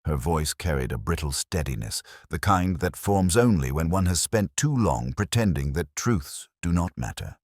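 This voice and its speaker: Very deep-voiced man narrating a mystery. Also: animated, no room sound, clean studio sound. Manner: measured, literary, reflective. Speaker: narrator of a detective story, speaking as the inspector. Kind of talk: scripted first-person mystery narration.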